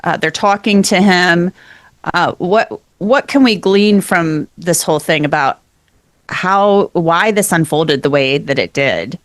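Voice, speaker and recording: A woman with a lowish voice conducts an interview in a conversational way. She thinks out loud and rephrases her questions. The recording is dry, with little room sound. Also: slow pace, no room sound.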